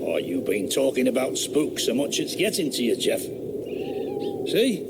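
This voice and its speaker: An actor playing a matter-of-fact labourer who scoffs at ghost talk. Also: male voice, mid-range voice, big pitch swings, unhurried, vocal fry, very noisy. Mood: scoffing. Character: down-to-earth male rural labourer, skeptical. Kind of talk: radio drama acting.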